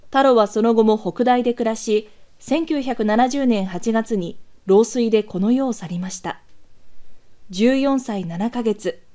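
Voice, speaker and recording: Dark-toned, high-pitched woman news narrator. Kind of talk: scripted documentary news narration.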